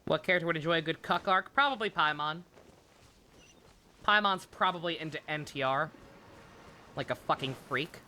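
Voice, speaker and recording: A woman's voice: low-pitched, normal speed, pauses often, bright, forceful tone, slight background noise. A woman doing casual, joking live game commentary, sweary and sarcastic. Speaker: game streamer.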